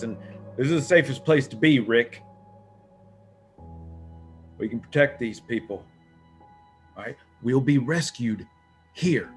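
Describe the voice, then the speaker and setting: A man's voice: mid-range voice, fairly bright tone, lightly acted. A man acting a stubborn, defensive survivor in a horror comic table read, insistent and argumentative. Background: music under the voice.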